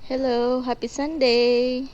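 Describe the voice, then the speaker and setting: A woman's voice: sits high, flat delivery, slow, a little mellow. A Filipino woman giving short, casual, playful replies over a helmet intercom. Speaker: helper testing an intercom. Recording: muffled noisy intercom audio.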